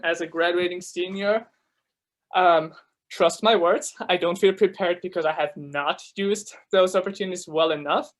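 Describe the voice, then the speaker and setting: Young swiss guy, german native speaker, bit high voice, chatty with lots of ums, on a video call. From Switzerland. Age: young adult. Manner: chatty, many fillers, quick laughs. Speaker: student ambassador. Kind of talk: casual presentation to new students.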